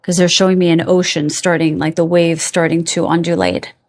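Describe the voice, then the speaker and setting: Woman with a low voice giving a psychic reading. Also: little pitch movement, a little mellow. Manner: meandering, reassuring, checks in with listeners; pauses to search for words. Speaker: psychic card reader. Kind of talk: spirit guide reading to camera.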